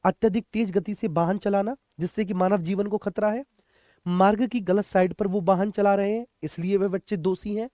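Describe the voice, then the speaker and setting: Coaching teacher, medium pitch, dry sound, lecture style, repeats key points, familiar with students, frequent check questions. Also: dark tone, no echo. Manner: addresses students familiarly as children. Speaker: teacher, exam coaching instructor.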